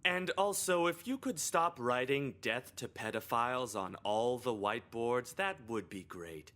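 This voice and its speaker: An actress voicing a prim, preachy adult school staffer in a video game, with a theatrical, scolding manner. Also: female voice, very deep voice, expressive, a little slow, fairly bright tone, exaggerated voice.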